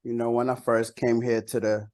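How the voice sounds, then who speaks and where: Male interview guest from Costa Rica, Spanish first language, mid-pitched voice, informal slangy chat. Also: little pitch movement, normal speed, a little mellow. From San Jose, Costa Rica. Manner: colloquial, peppered with "like" and "you know". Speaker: interview guest, bilingual rapper and songwriter.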